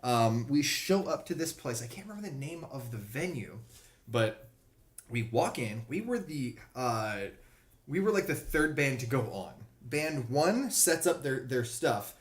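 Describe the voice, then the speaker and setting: A man's voice: mid-range voice, expressive, measured pace. A man co-hosting a podcast, telling a loose, profane story with lots of laughter. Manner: loose, profane, laughs often, does loud mock voices. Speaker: podcast co-host. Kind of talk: casual chat, storytelling. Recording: roomy echo.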